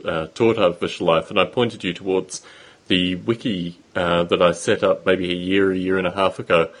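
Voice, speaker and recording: A man hosting a podcast interview, asking long, measured questions. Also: sits low. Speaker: podcast host.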